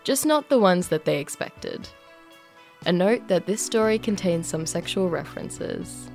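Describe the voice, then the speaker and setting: A woman's voice: on the low side, animated, unhurried, darkish tone, faint music behind. An Australian woman reading scripted personal stories and radio announcements in an emphatic, conversational way. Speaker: storyteller and radio show host.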